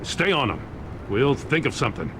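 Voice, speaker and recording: A man's voice: mid-range voice, big pitch swings, moderate pace, close to an everyday voice. An actor voicing a weary, earnest middle-aged male police commissioner in a video game. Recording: game audio with background noise.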